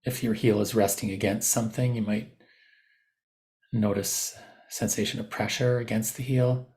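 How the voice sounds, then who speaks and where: A man leading a guided meditation, giving gentle, unscripted instructions with softened, informal phrasing. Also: fairly low pitch, fairly even intonation, moderate pace.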